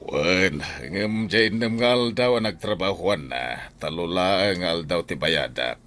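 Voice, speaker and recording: A man acting a gruff middle-aged uncle and employer in a radio drama, grumbling bluntly with an Ilocano accent. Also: low voice, slow pace, a bit bright, faint music behind. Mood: firm, grumbling. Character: gruff uncle, complaining employer with a farm garden.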